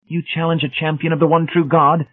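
A man's voice: fairly high pitch, a little fast, husky, voice pushed up. Through a thin phone-like band comes a smug young zealot, acted out by a male narrator. He declaims in a raised, sanctimonious voice, as pompous storybook villains do. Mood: triumphant, incredulous.

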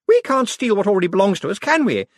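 A man's voice: high voice, very animated, fast, dry recording, studio quality, pitched above the performer's own voice, full character voice. A man voicing a vain, petulant rich woman in an audiobook, chattering in a gushing, dismissive, self-righteous manner. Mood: gleeful, defiant. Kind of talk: audiobook character acting.

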